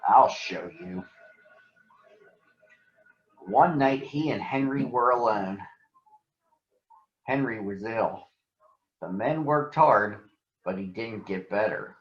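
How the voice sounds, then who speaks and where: A man's voice: medium pitch, takes it slowly, pauses often. A man narrating a children's story to young listeners as a storybook reader. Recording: roomy echo, dull sound.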